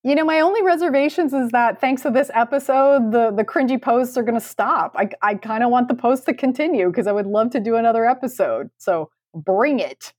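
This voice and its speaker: A woman's voice: fairly high pitch, fairly quick, hardly any pauses, a little mellow. A woman co-hosting a podcast in casual, informal, conversational talk with a sardonic edge. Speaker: podcast co-host and recruiter.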